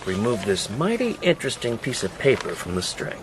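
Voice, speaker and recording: An actor playing a shrewd, folksy fixer and spymaster, in a radio drama. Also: male voice, mid-range voice, huge pitch swings, fairly quick, background noise. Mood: wry.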